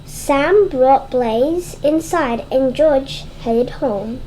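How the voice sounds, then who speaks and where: A child reading a storybook aloud in a halting way, stumbling and repeating words. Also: high voice, mellow, slight background noise, rough recording. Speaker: read-aloud presenter.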